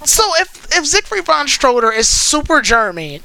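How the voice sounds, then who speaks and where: A host chatting casually while recapping and critiquing an anime series, with an animated, wry storytelling manner. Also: high voice, moderate pace, gravelly, a crowd in the background, low-quality recording. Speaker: host of an anime commentary stream.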